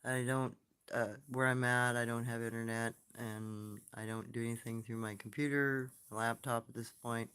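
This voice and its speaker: A soft-spoken man telling stories and answering comments in a casual, rambling live stream, recorded on his phone. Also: medium pitch, level tone, normal speed, clear, resonant tone.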